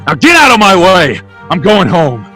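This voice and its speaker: Guy doing earnest fiery small town young man, old time radio drama, voice a bit high. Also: huge pitch swings, normal speed, pushed and bright, soft background music, full character voice. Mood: furious. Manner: emphatic, impassioned.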